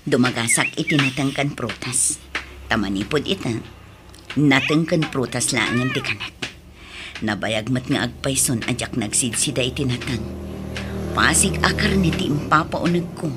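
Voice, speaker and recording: A woman playing an ailing, remorseful wife and mother in an Ilocano radio drama, with an Ilocano accent and a plaintive, breathy, pleading delivery. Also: extremely low pitch, expressive, moderate pace, over loud music. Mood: self-conscious, fretful.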